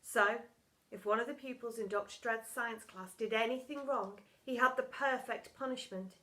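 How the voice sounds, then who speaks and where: A woman reading a children's storybook aloud as expressive narration, with dramatic, emphatic storytelling. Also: fairly high pitch, normal speed. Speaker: primary school story reader.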